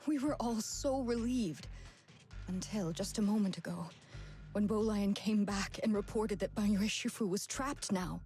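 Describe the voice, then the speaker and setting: A woman playing a gentle, worried senior temple disciple in a video game story, speaking with solemn, measured earnestness. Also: mid-range voice, close to an everyday voice. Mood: earnest, worried. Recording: game music behind the voice.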